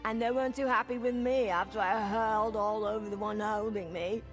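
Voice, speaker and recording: A woman's voice: medium pitch, fairly even intonation, brisk, music in the background, close to an everyday voice. An actress playing a drunken female squatter in a fantasy video game, rambling, stumbling over words and lying badly. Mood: chatty, tipsy. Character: tipsy, rambling female squatter, chatty shameless bad liar. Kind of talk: video game character dialogue.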